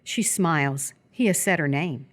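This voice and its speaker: A woman narrating a live radio theatre script, reading stage directions in a measured, descriptive way. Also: sits low.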